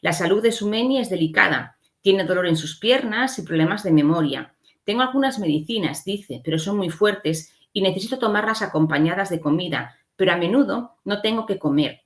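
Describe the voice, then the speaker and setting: A woman's voice: medium pitch, lively intonation, fairly quick. A woman from giving an explanatory slide lecture as a conference speaker, often adding short checking tags.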